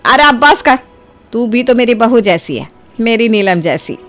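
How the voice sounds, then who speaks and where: Casting: actress as kindly older mother-in-law for moral-story cartoon, very lively intonation, motherly and colloquial, music underneath. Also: on the high side, measured pace. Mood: kindly scolding. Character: kind, generous older mother-in-law of the household. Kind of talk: acted Hindi moral story dialogue.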